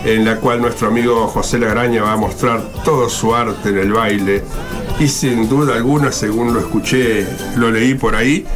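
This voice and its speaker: Music plays clearly under a male radio host with a Buenos Aires accent. His voice sits at an average pitch. He announces community events informally, moving between reading notices aloud and talking off the cuff in a conversational way. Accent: Argentine, Buenos Aires.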